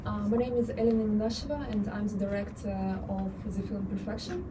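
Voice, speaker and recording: A woman with a Russian accent speaks as a director on a festival panel, careful and a little halting. She is far from the microphone in a cinema event room. Heavy room noise runs under her voice. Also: mid-range voice, fairly even intonation. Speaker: film and theater director. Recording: distant mic, loud room noise.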